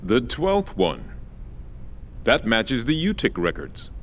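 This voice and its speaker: A man voicing a smooth, courteous political representative in a game cutscene. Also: mid-range voice, huge pitch swings, slow pace, a little hiss or hum, heavily acted. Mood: intrigued. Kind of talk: dramatic game cutscene dialogue.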